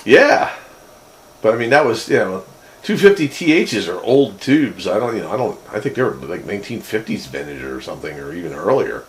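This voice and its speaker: Guy with a lowish voice thinking out loud about gear, kinda hesitant, bit of noise behind him. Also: sing-song, a little fast. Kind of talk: casual technical chat about vintage radio gear.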